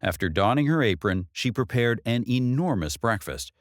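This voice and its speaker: A man's voice: medium pitch, expressive, moderate pace, no room sound, studio quality. A man reading a novel aloud as an audiobook narrator, in scripted storytelling style.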